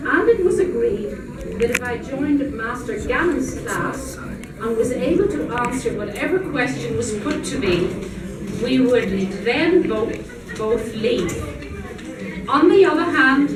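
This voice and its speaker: A woman reading a literary story aloud at a live event, in a measured, deliberate storytelling manner. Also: on the high side, expressive. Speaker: reader at a public event. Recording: echoey room, distant mic, noisy background.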